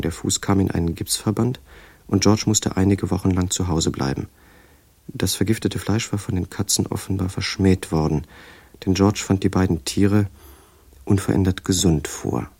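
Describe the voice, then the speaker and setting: Measured storyteller: low, gravelly, matter-of-fact, dry close studio sound, radio drama. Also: male voice, mellow, no echo. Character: measured male storyteller, a writer recounting another man's life. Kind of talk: scripted literary narration in a radio drama.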